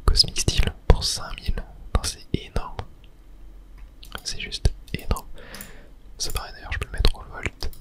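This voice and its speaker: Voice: a little slow, long pauses. Gaming ASMR host, fully whispered delivery, casual and hesitant, musing aloud while playing. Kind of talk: whispered ASMR game commentary.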